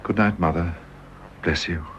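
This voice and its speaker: A bedridden young British husband in a radio drama. He speaks slowly and haltingly, with nervy, despairing tenderness. There is faint noise on the recording. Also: sits low, fairly bright tone, lo-fi sound. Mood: weary, subdued. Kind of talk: BBC radio drama acting.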